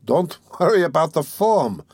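A man's voice: medium pitch, sing-song, brisk, no echo, studio quality, voice pushed up. A man voicing a sly, falsely jovial middle-aged examining lawyer in an audiobook, teasing and ironical. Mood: gleeful. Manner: teasing, chuckling, wheedling, ironical. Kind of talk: dramatic audiobook character reading.

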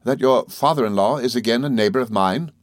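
A man voicing a meek, timid middle-aged country doctor who speaks slowly and apologetically, stammering and adding sir to nearly every phrase. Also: mid-range voice, no echo, clean studio sound, voice pushed up, natural voice. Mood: meek, fluttered. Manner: slow, apologetic, hesitant, with deferential sirs.

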